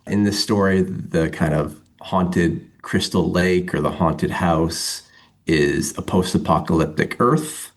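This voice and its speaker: A man with a very deep voice and a lot of vocal fry gives a casual interview. He speaks in a loose, rambling way, working out his thoughts as he goes. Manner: rambling, thinking aloud. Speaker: interview guest, comic writer.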